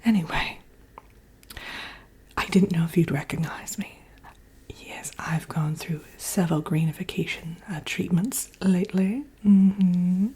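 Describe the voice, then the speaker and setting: A woman voicing a vain, sly wicked witch in a breathy, whispered ASMR roleplay, chatting coyly as if with an old friend. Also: lowish voice, fairly even intonation, warm tone. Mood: smug, conspiratorial. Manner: theatrical, coyly boastful, doting, slow and murmuring.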